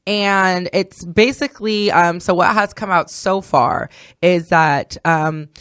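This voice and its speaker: A woman hosting a podcast in a casual, halting, self-interrupting way. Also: fairly low pitch, fairly bright tone, clear, resonant tone, dry recording, studio quality. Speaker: podcast host. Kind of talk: casual entertainment discussion.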